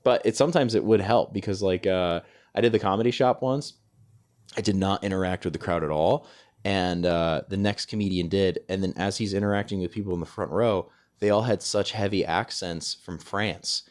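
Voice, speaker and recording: Low-voiced man chatting casually, hesitant. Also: steady tone, normal speed. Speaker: podcast host and stand-up comedian. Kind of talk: casual podcast chat.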